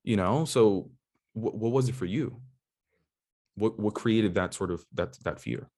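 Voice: mid-range voice, measured pace, warm tone, slightly rough. A podcast host chatting casually, affirming the guest and asking questions with many restarts. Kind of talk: casual interview conversation.

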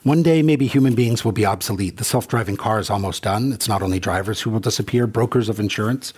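A middle-aged man from Congo speaks in an earnest, reflective way. His voice is deep and extremely husky. He tells stories and acts out both sides of the exchanges. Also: fast, darkish tone. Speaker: rideshare driver. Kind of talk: personal monologue.

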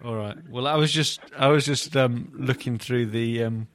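A man's voice: medium pitch, moderate pace, fairly bright tone. A man hosting a podcast in casual comic banter, teasing his co-hosts with mock bafflement. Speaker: podcast host.

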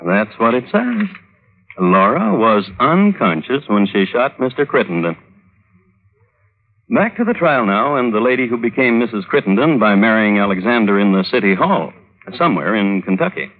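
Deep, droll old radio storyteller. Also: male voice, big pitch swings, moderate pace. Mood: droll, dry. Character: wry male storyteller narrating an old courtroom scandal. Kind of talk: old-time radio dramatic narration. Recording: muffled, narrow-band old radio recording.

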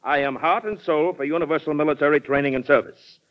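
A man's voice: on the high side, fast, lightly acted. Actor as hearty warlike old statesman, muffled radio. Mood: oratorical. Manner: emphatic, oratorical, jovial. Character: hearty, bellicose ex-president colonel, eager for war. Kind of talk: old-time radio drama acting. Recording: muffled vintage radio broadcast recording.

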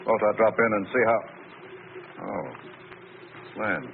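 Suave radio crook, lowish voice. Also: male voice, creaky. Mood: falsely polite. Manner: measured, coolly polite menace. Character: suave, threatening adult male crook. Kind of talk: 1940s radio thriller acting. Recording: narrow, hissy old radio recording.

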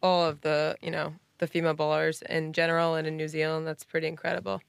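A woman's voice: sits low, little pitch movement, quick pace, vocal fry, smooth, no echo. A woman co-hosting a podcast, speaking appreciatively to her guest. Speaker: podcast co-host. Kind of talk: casual podcast chat.

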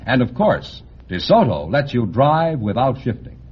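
A man's voice: medium pitch, sing-song, measured pace, breathy edge. A man with an American accent reading a 1950s radio advertisement in a polished, salesmanlike way. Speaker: commercial announcer. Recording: muffled old radio recording.

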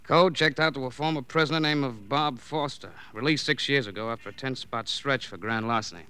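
An actor playing a wry, needling police colleague, talking breezily in an old radio crime drama. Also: male voice, mid-range voice, brisk, bright, forceful tone, clear, resonant tone, natural voice. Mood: breezy briefing. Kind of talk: 1940s-50s radio drama acting.

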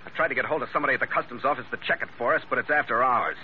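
A man's voice: medium pitch, very fast, a little hiss or hum, lightly acted. Man playing a hardboiled sea captain, muffled old radio. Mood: brisk, commanding. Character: tough, cynical male sea captain narrating his adventure. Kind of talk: old-time radio adventure acting, hardboiled narration.

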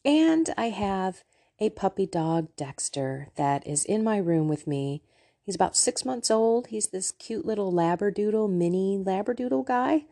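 A woman's voice: medium pitch, lively intonation, a little slow, mellow. A woman with a scratchy voice from allergies, hosting a devotional podcast from her room, chatting earnestly before reading scripture. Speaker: podcast host.

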